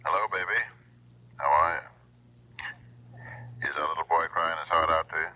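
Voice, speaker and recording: An extremely deep sadistic, domineering man who taunts and gives orders. His slangy sneer comes through a muffled telephone line, in radio crime drama style. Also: steady tone, moderate pace. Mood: mocking. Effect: telephone filter. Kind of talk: old-time radio drama acting.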